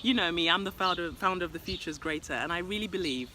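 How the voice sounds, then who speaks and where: A woman's voice: fairly low pitch, quick pace, noisy background. A woman hosting an interview, bubbly and enthusiastic, with many affirming murmurs. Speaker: host, success coach. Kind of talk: interview host.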